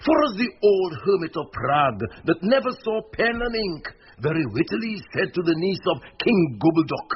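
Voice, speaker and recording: Droll, wildly swooping clown. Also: male voice, high voice, big pitch swings, moderate pace. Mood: mock learned. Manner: droll, nonsensical patter. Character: playful, quick-witted clown, a man. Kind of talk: classical radio drama acting. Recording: muffled radio recording, noisy background.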